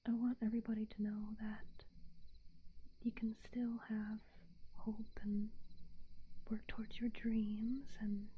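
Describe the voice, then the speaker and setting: A young woman whispers close to the mic, her voice a little high and breathy, her talk hesitant and candid with many small fillers. The audio is slightly dull, with a faint hiss underneath. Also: flat delivery, slow, long pauses. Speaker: VTuber streamer. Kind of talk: whispered ASMR-style stream chat.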